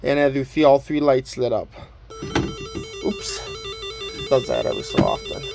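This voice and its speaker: A man's voice: mid-range voice, wildly swooping pitch, moderate pace, creaky, over music. A man casually presenting and demonstrating items from his collection in a plain, matter-of-fact way. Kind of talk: casual show-and-tell demonstration.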